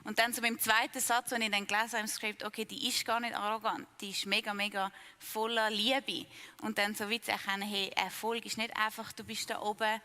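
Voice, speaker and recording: A woman's voice: medium pitch, flat delivery, a little fast, pushed and bright, in a large hall. A young woman with a Swiss German accent, an actress in the series, talking about her role with enthusiasm and youthful slang. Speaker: actress in the sitcom. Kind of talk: casual interview answers.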